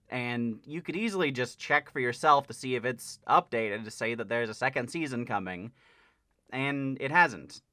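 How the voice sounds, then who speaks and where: A man's voice: medium pitch, sharp tone, no echo, clean studio sound. A man talking casually as a channel host, explaining an update in a conversational way with stressed key words. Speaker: host of a parody dub channel giving an update.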